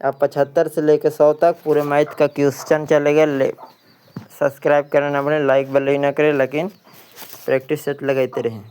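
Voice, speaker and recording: A man's voice: a little high, level tone, normal speed, a little mellow, clean tone. A man with an Indian accent teaching for an exam, reading quiz questions and answers aloud and often repeating them.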